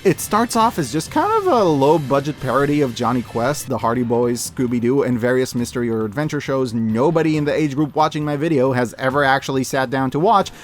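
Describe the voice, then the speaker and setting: A man's voice: mid-range voice, lively intonation, a little fast, few pauses, faint music behind. A man delivering an opinionated scripted review, emphatic and informal, given to hyperbole. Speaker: video essayist reviewing media.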